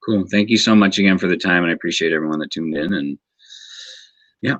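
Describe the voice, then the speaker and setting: Deep husky-voiced man, earnest and halting. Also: a bit bright, no room sound, lo-fi sound. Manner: halting, repeats words while searching for them; earnest and grateful. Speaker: martial arts instructor, guest. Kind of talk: casual interview talk.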